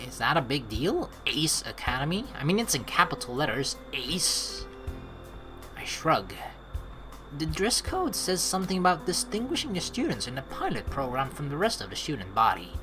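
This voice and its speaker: Low-pitched, very animated woman gamer. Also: moderate pace, over music. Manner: expressive, playful, swearing. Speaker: let's play host. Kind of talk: casual game commentary, reading dialogue aloud.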